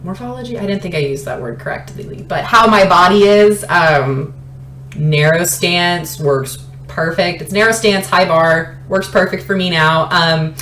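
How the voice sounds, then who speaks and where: A woman in her late twenties chatting casually and telling personal stories as a fitness vlogger, in an animated way, often interrupting herself. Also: fairly low pitch, unhurried. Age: late 20s. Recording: roomy echo, light background noise.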